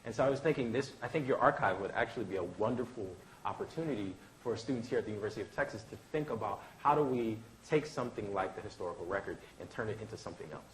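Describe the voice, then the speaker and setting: A man's voice: fairly low pitch, very fast, hardly any pauses, poor audio. A man speaking informally as a professor at a live event, circling back on his thoughts and checking in with "right?". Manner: discursive, self-interrupting, often adds "right?". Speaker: professor, poetry teacher. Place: indoor event room. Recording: faint room noise behind.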